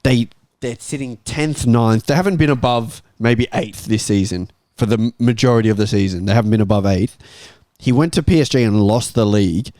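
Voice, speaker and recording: A man's voice: mid-range voice, brisk, hardly any pauses, darkish tone, no echo. A man hosting a casual football panel and giving his own views in the debate.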